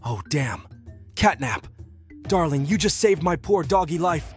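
A man voicing a friendly, gentle cartoon dog in a comic dub, earnest and expressive. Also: a little high, measured pace, faint music behind. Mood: relieved, sheepish. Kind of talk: animated comic dub acting.

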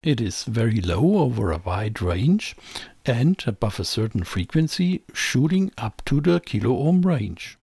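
A man's voice: medium pitch, big pitch swings, a little slow, a little mellow, slightly rough, no echo. A man presenting a scripted technical explainer in a didactic, emphatic way, as a close voice-over. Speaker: presenter of an electronics channel.

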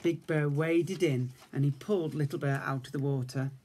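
A man's voice: a little high, fairly even intonation, normal speed, darkish tone, a little hiss or hum. A man in his fifties with an English accent, a teacher reading a story to young children. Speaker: infant school teacher. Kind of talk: children's story read-aloud. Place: indoors, room at home.

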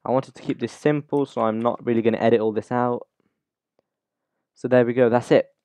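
A man hosting a casual, unscripted software tutorial, talking through the steps informally as he goes. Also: medium pitch, steady tone, brisk. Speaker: YouTube tutorial host.

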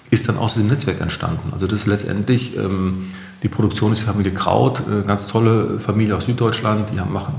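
A middle-aged man talks through his answers as an interview guest. His voice sits fairly low, and the room adds a clear echo. The style is conversational. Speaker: guest, company co-founder. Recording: roomy echo.

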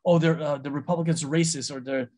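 A man's voice: fairly high pitch, steady tone, fast, mellow. A man running for Congress, answering interview questions earnestly and often repeating words as he restarts. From United States. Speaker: congressional candidate.

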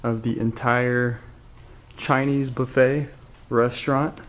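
Slow, measured man listing items. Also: medium pitch, little pitch movement. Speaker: auction walkthrough narrator. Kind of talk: slow walkthrough narration. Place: empty restaurant and kitchen.

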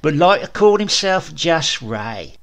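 A man voicing a brisk man who reads out a captive's belongings in short, clipped phrases. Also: fairly high pitch, sing-song, a bit bright, voice pushed up, exaggerated voice.

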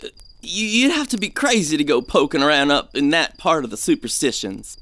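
An actor playing a superstitious, fearful treasure hunter in an audio drama, with a folksy, foreboding way of talking. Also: male voice, on the high side, lively intonation, brisk, a bit bright, a little hiss or hum. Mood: fearful, reluctant.

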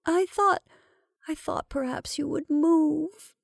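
Woman acting a timid, high-pitched, pleading woman. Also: takes it slowly, dry recording, studio quality, exaggerated voice. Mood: hesitant. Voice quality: hoarse, choked. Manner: hesitant, weak, piteous.

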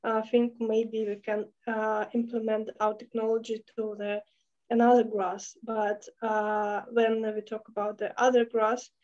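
A woman's voice: fairly high pitch, flat delivery, a little slow, a little mellow. A woman in her thirties with a Russian accent, answering informally and searching for words on a video call. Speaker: scientist, guest speaker. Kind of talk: informal answer to audience question. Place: small indoor room, video call. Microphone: computer mic on video call. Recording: dull video call audio.

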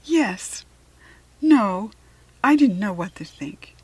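A woman's voice: mid-range voice, lively intonation, slow, a little mellow, breathy edge, no echo, low-quality recording. A woman voicing an earnest, caring, anxious young farm girl in a children's storybook, speaking emphatically. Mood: relieved, excited. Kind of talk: children's storybook character reading.

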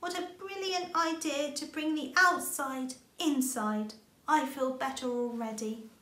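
A woman voicing an appreciative, gentle old granny hedgehog in a storybook reading. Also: high-pitched, a little slow, pitched above the performer's own voice. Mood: delighted. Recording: roomy home recording.

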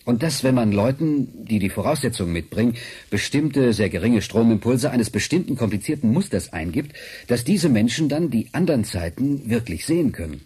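A very husky, patient scientist. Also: male voice, medium pitch, animated, moderate pace, warm tone. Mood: patient, kindly. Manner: hesitant, patient, explanatory. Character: patient, kindly male scientist explaining to a girl. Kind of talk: German radio drama acting.

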